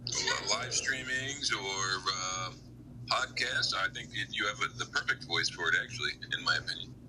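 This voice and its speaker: A man with a deep voice chatting casually and emphatically on a live gaming stream, full of opinions. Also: moderate pace, bright, forceful tone. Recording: clearly noisy live stream audio.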